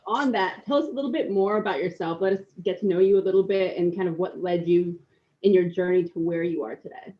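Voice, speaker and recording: Polite woman interviewer, lower voice. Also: a little fast, highs cut off. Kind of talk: red carpet interview.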